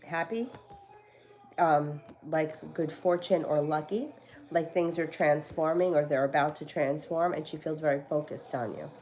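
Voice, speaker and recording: A woman's voice: low-pitched, measured pace, darkish tone. A woman with a stuffy, congested voice giving casual tarot readings, talking in a rambling, chatty way full of fillers and restarts. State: stuffy from a cold. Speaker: tarot reader. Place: home.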